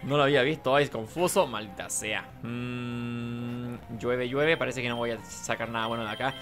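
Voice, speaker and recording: A man's voice: mid-range voice, steady tone, normal speed, a bit bright. Chilean man muttering game commentary over music. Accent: Chilean Spanish. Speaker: gaming video host. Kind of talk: casual playthrough commentary. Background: video game music behind the voice.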